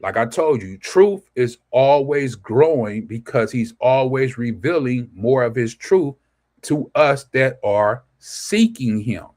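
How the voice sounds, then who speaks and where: A man's voice: mid-range voice, animated, takes it slowly, a little mellow. A man reading scripture aloud and preaching informally to an online audience, asking rhetorical questions and answering them himself. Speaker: scripture teacher. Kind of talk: informal scripture study livestream.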